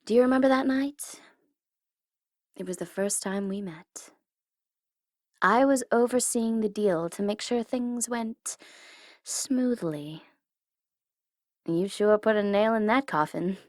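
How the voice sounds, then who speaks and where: A woman playing a teasing tsundere supervillain in an ASMR audio roleplay, condescending but easily flustered, sometimes slipping into soft whispers. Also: medium pitch, takes it slowly, long pauses, no echo, clean studio sound, close to an everyday voice. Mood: gloating. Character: teasing, tsundere female supervillain flustered by her captive hero.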